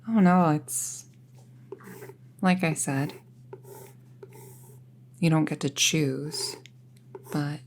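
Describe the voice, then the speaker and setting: A young woman playing a friendly apprentice witch in an intimate roleplay audio, soft-spoken and musing, hesitant, often slipping toward a whisper. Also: deep voice, fairly even intonation, extremely slow, long pauses, slight background noise, exaggerated voice. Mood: musing, casual.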